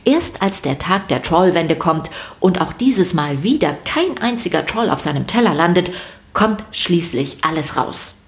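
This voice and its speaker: Low-voiced woman telling stories for children. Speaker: podcast host and story narrator. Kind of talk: scripted narration for a children's podcast.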